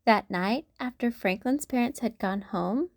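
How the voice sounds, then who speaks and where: A woman's voice: on the high side, a little slow, dry recording, studio quality. A woman hosting a read-aloud, narrating a children's storybook expressively. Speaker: storybook reader and channel host.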